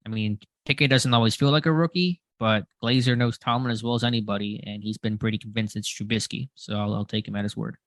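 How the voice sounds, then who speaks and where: A man co-hosting a casual sports podcast, tossing quick questions and agreements back and forth. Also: on the low side, steady tone, no echo. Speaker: podcast co-host.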